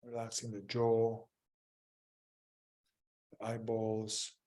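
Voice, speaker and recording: A man leading a guided mindfulness meditation as a teacher, gently and without hurry, leaving long pauses between short phrases. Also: fairly low pitch, completely flat delivery.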